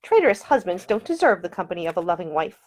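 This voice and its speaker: Prim young lady, spirited, sharp-tongued, raised pitch, historical audiobook, woman reader acting. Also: very animated, fast. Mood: frosty.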